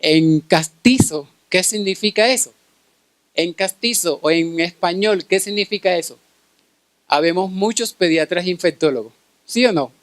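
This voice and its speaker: A man's voice: high voice, measured pace, no echo. A middle-aged man with a Colombian Caribbean accent giving a medical conference lecture, often checking in with short tag questions. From Cartagena, Colombia. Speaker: pediatric infectious disease specialist giving a lecture.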